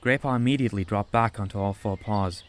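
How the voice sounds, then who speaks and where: A man's voice: on the low side, quick pace, smooth. A man reading scripted story narration as an audiobook narrator.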